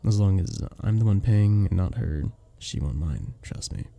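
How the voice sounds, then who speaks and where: A man voicing a sweet, earnest vampire bartender in a romantic ASMR roleplay, soft-spoken and flirtatious, with hesitant little chuckles. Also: low voice, expressive, normal speed, creaky. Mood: flirtatious, charming. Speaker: audio roleplay performer. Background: soft background music.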